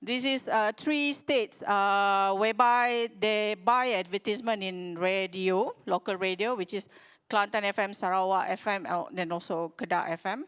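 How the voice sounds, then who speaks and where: A woman with a Malaysian accent giving a conference presentation in a halting, hesitant way. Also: mid-range voice, fairly bright tone, clear, resonant tone. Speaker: conference speaker from a radio broadcaster.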